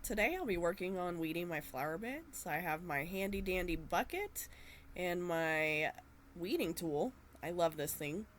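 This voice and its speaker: A woman talks through her gardening in a gentle, slow and easygoing manner, often pausing on little fillers. Her voice sits low and sounds a touch nasal, as if she is fighting a cold. Faint noise hums under the recording. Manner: gentle, unhurried, with frequent fillers. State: slightly nasal from a cold or allergies. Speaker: gardening vlogger. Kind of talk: voice-over narration of gardening.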